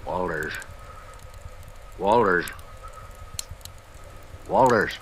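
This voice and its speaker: An actor playing a steady, soothing man at a patient's bedside in a vintage radio drama. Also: deep voice, drawn-out words, full character voice. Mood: calm, coaxing. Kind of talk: 1940s-50s radio drama acting. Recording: faintly noisy vintage radio recording.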